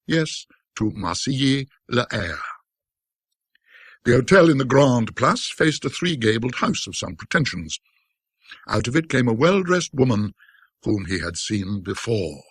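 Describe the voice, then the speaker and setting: A man narrating classic ghost stories in a theatrical, old-fashioned literary style, with a wry, scholarly, self-deprecating manner and dramatic pauses. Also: medium pitch, breathy edge, no room sound, clean studio sound.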